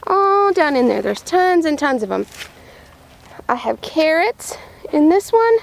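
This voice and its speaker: A woman's voice: sits high, very animated, unhurried, gravelly, a little hiss or hum. A woman farmer giving an upbeat show-and-tell tour for preschoolers, explaining things in simple words. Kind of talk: simple child-directed show-and-tell narration. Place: vegetable farm, in growing tunnels and outdoor beds.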